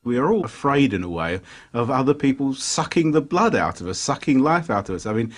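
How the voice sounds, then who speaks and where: A historian explains his ideas in a thoughtful interview, pausing to find words. His voice sits in a middle range. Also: medium pitch, lively intonation. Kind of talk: interview answers.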